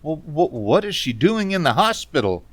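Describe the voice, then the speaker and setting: An actor playing a distraught, haunted male traveler in radio theater, speaking haltingly. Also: on the high side, very animated, no room sound. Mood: shocked disbelief. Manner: halting, stammering.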